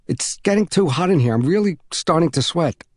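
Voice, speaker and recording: A man's voice: on the high side, expressive, brisk, dry recording, pitched above the performer's own voice. An anxious schoolboy, as a male narrator plays him, speaks in a raised, plaintive voice. He sounds flustered and earnest, in a very dry audiobook recording. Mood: flustered, pleading. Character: anxious young schoolboy stuck behind a locked door.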